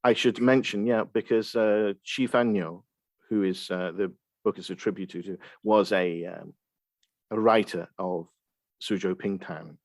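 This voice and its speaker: Halting scholarly man, lowish voice, dry sound. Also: steady tone, dry recording. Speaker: podcast guest, Chinese literature expert. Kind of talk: explanatory interview talk.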